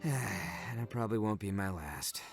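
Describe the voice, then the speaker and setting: A man's voice: lowish voice, animated, moderate pace, soft background music. A man playing a brash, big-hearted young oni gang boss in a video game, with a swaggering, slangy delivery. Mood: resigned.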